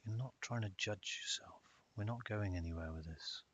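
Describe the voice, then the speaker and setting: A man narrating a guided meditation in a calm, measured way with long pauses. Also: on the low side. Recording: dull lo-fi audio with hiss.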